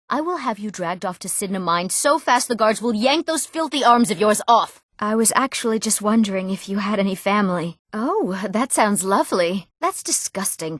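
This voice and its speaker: An eager young townswoman from a fantasy video game, played by an actress. Her voice sits at a medium female pitch and is expressive and clearly enunciated. The sound is close and dry, like a studio take. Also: no echo, close to an everyday voice. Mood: annoyed, then polite, earnest. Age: young adult. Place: recording studio.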